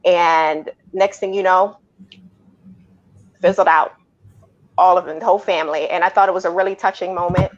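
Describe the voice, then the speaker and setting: A woman's voice: on the low side, fairly even intonation, normal speed, long pauses, highs cut off, a little hiss or hum. A woman speaking casually as a panelist in a movie review discussion.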